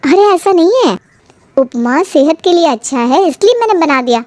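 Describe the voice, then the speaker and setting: A woman playing a dutiful, gentle young daughter-in-law in a cartoon family story, speaking politely and placatingly. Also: very high voice, expressive, moderate pace, no room sound, pitched above the performer's own voice.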